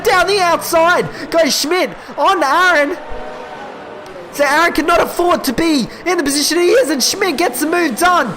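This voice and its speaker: A young man calling a sim racing event live, excitable and quick, his voice giving out and cracking. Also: very high voice, slight background noise. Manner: excitable, quick calls that rise at overtakes. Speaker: esports race commentator. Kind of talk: live play-by-play race commentary.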